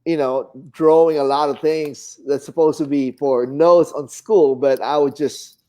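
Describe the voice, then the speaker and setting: A man's voice: on the high side, fairly even intonation, unhurried, warm tone. A middle-aged man from the Philippines with a Filipino accent, chatting casually in an easygoing, rambling storytelling way as an interview guest. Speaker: guest, fine artist painter. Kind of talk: casual interview conversation, reminiscing.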